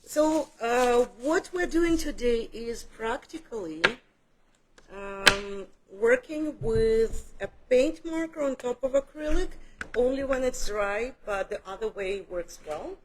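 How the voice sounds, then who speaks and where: A woman's voice: fairly high pitch, animated, slow, background noise. A woman casually walking a group through a painting demo in a studio, chatty and a little self-deprecating. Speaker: art instructor. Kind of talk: casual live demo teaching. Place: art studio room.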